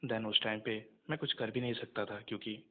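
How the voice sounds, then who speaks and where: A young man talking casually on a recorded phone call, subdued and earnest, with hesitant pauses. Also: fairly low pitch, steady tone.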